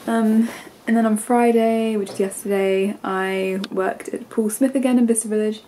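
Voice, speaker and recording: A woman's voice: mid-range voice, fairly even intonation, moderate pace, warm tone, a little hiss or hum, rough recording. Stuffy-nosed young English woman vlogging. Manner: rambling and chatty, many ums and likes. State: congested, stuffy nose. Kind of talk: casual chatty vlog. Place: bedroom at home. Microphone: camera held at arm's length.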